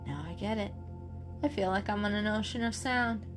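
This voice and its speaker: A woman's voice: medium pitch, moderate pace, over music, natural voice. Woman voicing a wary, sensible female house cat. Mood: musing.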